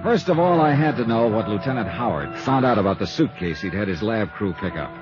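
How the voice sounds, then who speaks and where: A man playing a brisk, hard-boiled American insurance investigator in 1950s radio style, clipped and wry as he narrates and talks. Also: on the low side, lively intonation, lightly acted. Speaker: lead actor and narrator of a radio detective series. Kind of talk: 1950s radio acting, scripted first-person narration. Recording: muffled vintage radio broadcast with music.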